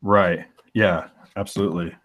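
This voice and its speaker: Male comic artist, forties, very low voice, thoughtful and searching delivery in casual stream chat. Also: steady tone, moderate pace, fairly bright tone. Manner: thoughtful, searches aloud for the right words.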